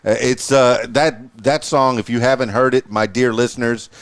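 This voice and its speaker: A man's voice: mid-range voice, fairly even intonation, moderate pace, dry recording. A man in his fifties hosting a podcast, rambling and stumbling over words as he chats. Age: 50s. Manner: rambling, stammers and repeats words. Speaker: podcast host. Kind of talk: casual interview chat.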